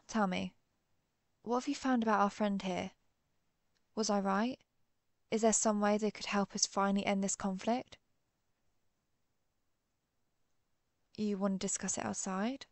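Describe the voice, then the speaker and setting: A woman playing an earnest, conflicted vampire hunter who is herself a vampire, speaking formally with hesitant pauses in a dramatic audio roleplay. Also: mid-range voice, gravelly, dry recording. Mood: serious, questioning. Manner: formal, old-fashioned wording, hesitant pauses. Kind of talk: dramatic audio roleplay, one-sided dialogue.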